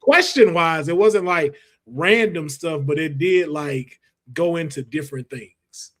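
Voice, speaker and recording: A man's voice: fairly high pitch, a little slow, a bit bright. A man hosting a podcast, chatting in a casual, teasing way full of slang. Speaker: podcast host. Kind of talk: casual chat.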